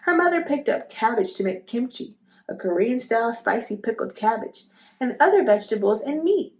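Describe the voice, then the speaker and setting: A woman narrating a children's storybook aloud in an expressive style. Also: a little high, moderate pace. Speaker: storybook reader.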